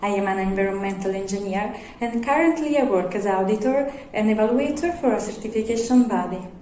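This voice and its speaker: A matter-of-fact engineer in her thirties with a mellow, dark tone. Her voice rings in an echoey room over a faint hiss. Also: medium pitch, fairly quick. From Italy. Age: 30s. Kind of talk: recorded self-introduction.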